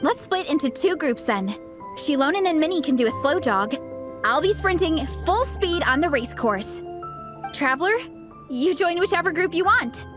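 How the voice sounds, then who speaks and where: Peppy high-voiced young female athlete. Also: expressive, normal speed, music in the background. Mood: casual. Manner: upbeat, encouraging. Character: energetic, friendly young female athlete, sporty team leader. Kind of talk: video game cutscene voice acting.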